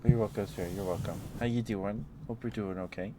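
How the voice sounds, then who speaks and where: A man chatting in a rambling, affectionate ASMR style to live viewers, speaking softly into a close microphone. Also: on the low side, fairly even intonation, brisk. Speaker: ASMR livestreamer. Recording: clear background noise.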